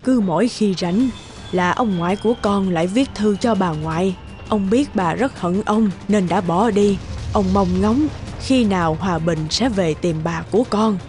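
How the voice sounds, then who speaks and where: A woman voicing a gentle, earnest storyteller recounting family history in an animated tale. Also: mid-range voice, moderate pace, natural voice. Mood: solemn, tender. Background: prominent music.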